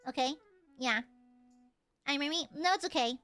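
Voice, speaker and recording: A woman streamer chatting casually with her viewers, loud and crude, teasing them and swearing a lot, then suddenly dropping into mock-serious mutters. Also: sits high, moderate pace. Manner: animated, jumps between shouting and mock-serious mutters. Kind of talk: casual live-stream chat.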